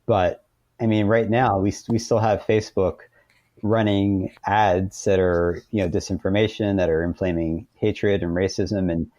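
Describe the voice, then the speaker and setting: A man's voice: low-pitched, steady tone, measured pace, mellow, no room sound, muffled recording. A man speaking as an interview guest, explaining things informally and point by point in casual conversation.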